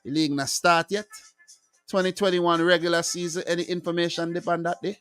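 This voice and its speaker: A man doing a put-on Jamaican accent with patois phrasing and a quick, chatty delivery. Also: fairly high pitch, flat delivery, a bit bright, clear, resonant tone, dry recording, studio quality, faint music behind, natural voice.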